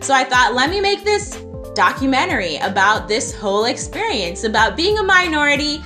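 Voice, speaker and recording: A woman's voice: sits high, very animated, normal speed. A woman introducing her own documentary in an earnest, reflective way. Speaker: documentary host. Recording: music bed underneath.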